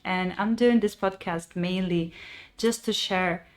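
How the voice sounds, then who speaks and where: A woman's voice: on the low side, slow pace. A woman from Ukraine in her thirties, a podcast host and life coach telling her own story in an earnest, reflective way, with phrasing. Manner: earnest and reflective, with frequent restarts and non-native phrasing. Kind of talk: personal storytelling monologue.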